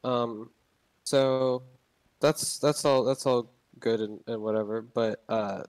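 A man's voice: mid-range voice, flat delivery, takes it slowly, darkish tone, no room sound, low-quality recording. Young male narrator, loose unscripted tutorial talk, dry and close. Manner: rambling, many ums and restarts. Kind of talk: casual gameplay tutorial.

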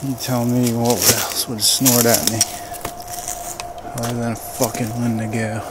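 A man in his forties, tense and jumpy, talking low and swearing as he films himself out in the woods. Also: flat delivery, unhurried. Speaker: found-footage creator. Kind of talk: found-footage vlog narration. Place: outdoors in the woods at night. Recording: outdoor ambience with clear background noise.

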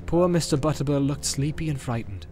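A man's voice: on the high side, lively intonation, a little fast, music in the background. A man narrating an audiobook in a dramatic storytelling style.

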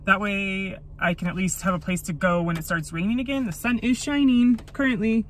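A woman's voice: medium pitch, a little fast. Woman in her 30s, solo road-trip vlogger, chatty and rambling, phone-mic sound with rain noise behind. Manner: chatty, thinking aloud with many ums. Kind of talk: casual vlog chat. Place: inside a parked jeep at a rainy forest campsite. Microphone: phone camera at arm's length.

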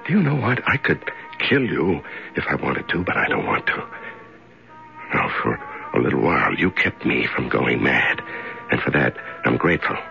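An actor in a 1970s radio drama playing a weak, half-mad prisoner who talks haltingly and repetitively to himself in a tremulous, feeble voice. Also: male voice, very deep voice, big pitch swings, creaky, sharp tone, exaggerated voice. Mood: tender pleading. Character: weak, half-mad male prisoner in darkness, desperate yet gentle. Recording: dull vintage radio recording.

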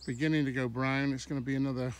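A middle-aged man from England chatting casually as he films a country walk on his phone, pausing mid-sentence as he goes. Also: mid-range voice, flat delivery. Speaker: walking vlogger. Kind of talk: casual walking commentary. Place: outdoors on country lanes and fields. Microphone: handheld phone camera while walking. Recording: faint outdoor background noise.

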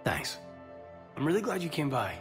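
An earnest male space soldier in a video game. His soft-spoken delivery is hesitant and intimate, with lively movement in pitch. Faint music plays behind him. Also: normal speed. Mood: tender, hesitant. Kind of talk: video game dialogue acting.

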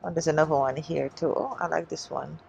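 A woman's voice: sits low, fairly even intonation, brisk, gravelly, darkish tone, highs cut off. A woman casually chatting to viewers as she browses in a large store, musing aloud as a shopping vlogger. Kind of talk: casual shop-with-me vlog chat. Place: inside a large shoe store. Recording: faint background store noise.

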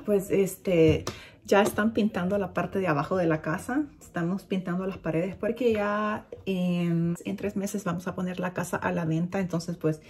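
A woman's voice: lowish voice, fairly even intonation, normal speed, darkish tone, a little hiss or hum. Chatty Nicaraguan woman vlogging at home. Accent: Nicaraguan Spanish, fairly neutral. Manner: chatty, gestures a lot, many fillers and restarts. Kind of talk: casual chat to camera. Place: bedroom at home, indoors. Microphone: camera at desk distance.

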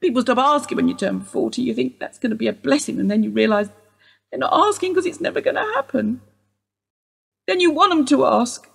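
A husky, guarded elderly suspect. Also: female voice, sits high, expressive, a little fast, heavily acted. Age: older. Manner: guarded, dry, wistful. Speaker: police interview suspect. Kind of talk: radio play acting.

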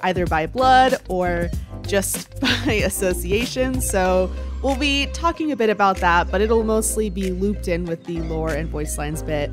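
Young woman, stuffed-up nose, casual gaming commentary, close mic, music bed underneath. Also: mid-range voice. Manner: chatty, frank, laughs often. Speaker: video game commentator. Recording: game music playing under voice. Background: game music underneath.

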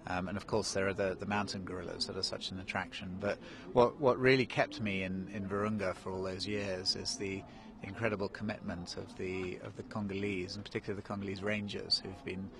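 A man in his forties with a deep, low voice answers a reporter outdoors in the snow, speaking haltingly with frequent ums and restarts. A busy murmur of people carries behind him. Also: flat delivery, a crowd in the background. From grew up in Kenya. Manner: hesitant, many ums and restarts. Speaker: interview guest, national park director. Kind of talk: live TV interview. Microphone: handheld mic held by interviewer.

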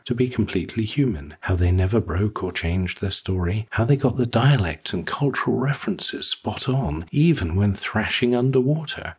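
A man's voice: low-pitched, husky. A man narrating a scripted short story in a wry storytelling manner. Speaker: audiobook narrator.

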